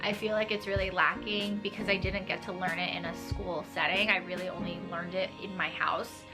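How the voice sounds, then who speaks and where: A woman's voice: on the low side, steady tone, brisk, over music. Chatty young New woman vlogging. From New York. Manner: chatty, uses "like" a lot, laughs lightly. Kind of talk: casual talk to camera. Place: small bedroom with desk and tapestry, indoors.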